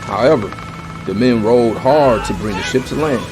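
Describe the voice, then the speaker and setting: A man's voice: mid-range voice, moderate pace, low-quality recording. A man narrating scripture in a dramatized, scripted style. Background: music.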